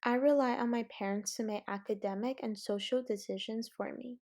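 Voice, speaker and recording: Teen girl hosting, fairly high voice. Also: fairly even intonation, moderate pace, a little mellow. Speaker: host. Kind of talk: scripted introductions and prompts.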